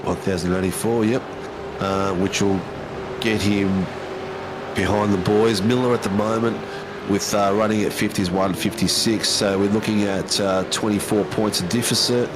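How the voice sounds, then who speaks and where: A man's voice: lowish voice, fairly even intonation, moderate pace, background noise. An Australian man commentating a sim race in a casual, matey way, with plenty of ums and uhs.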